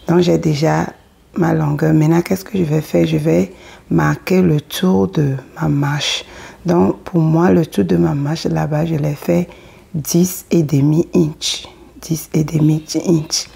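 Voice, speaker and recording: Low, husky and gravelly female voice with a head cold; plain step-by-step sewing tutor, not a native French speaker. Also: warm tone. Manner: plain step-by-step explaining, often asks the viewer if they follow. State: has a cold, hoarse. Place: indoors at a table.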